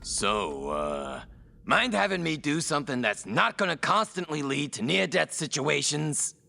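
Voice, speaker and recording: Man voicing a gravelly, whiny, cowardly sidekick. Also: fairly high pitch, big pitch swings, measured pace, sharp tone, heavily acted. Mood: reluctant. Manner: sarcastic, whiny, reluctant. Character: grumbling, cowardly, sarcastic male sidekick. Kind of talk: comic audio drama acting.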